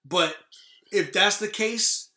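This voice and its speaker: Male podcaster, slightly high and very bright voice, blunt slangy delivery, casual chat. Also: slow, roomy sound. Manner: blunt, slangy, emphatic, swearing. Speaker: podcast host. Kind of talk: casual podcast chat about video game movies.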